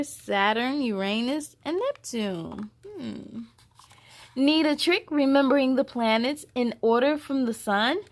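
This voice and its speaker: A woman hosting a children's story time, reading aloud expressively with a sing-song delivery and chatty asides to young listeners. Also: high-pitched.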